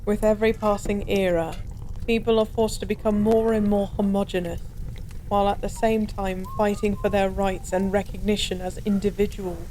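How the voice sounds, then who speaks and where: A woman's voice: medium pitch, little pitch movement, normal speed, dark tone, a little hiss or hum, lightly acted, poor audio. A woman playing a weary, lonely former goddess in an intimate audio roleplay, speaking wistfully and philosophically in formal, old-fashioned wording. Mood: melancholy, musing. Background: bar ambience.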